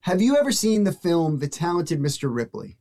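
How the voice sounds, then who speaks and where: A man with a fairly high-pitched voice, hosting a casual interview podcast and asking rambling, animated questions. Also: normal speed. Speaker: podcast host.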